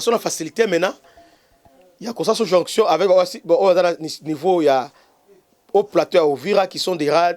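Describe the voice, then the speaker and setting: A man with a Congolese French accent, a bishop hosting his own channel, giving an impassioned political commentary full of hesitations and stray Lingala words. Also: on the high side. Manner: halting, many fillers and restarts, mixes in Lingala.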